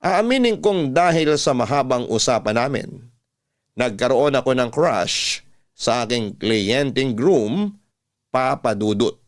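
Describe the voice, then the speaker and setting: A man's voice: mid-range voice, lively intonation, a little slow. Casting: Filipino male storyteller, mid-range voice, classic radio narration of a personal story.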